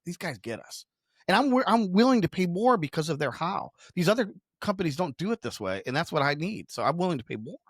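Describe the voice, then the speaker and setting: A man's voice: high-pitched, big pitch swings, quick pace, slightly rough, no echo, clean studio sound. A man explaining ideas conversationally as a business podcast co-host, emphatic and slipping into imagined customer quotes.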